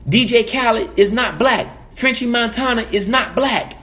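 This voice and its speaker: A man's voice: very high-pitched, moderate pace, a bit bright. A man from the Caribbean giving emphatic opinion commentary as a vlogger, preachy and repeating his points.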